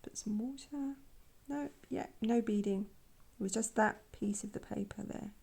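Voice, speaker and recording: A woman with a croaky voice from a sore throat, softly talking through a painting tutorial in quiet, half-whispered asides. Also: mid-range voice, moderate pace. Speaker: art channel host. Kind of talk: soft-spoken painting tutorial.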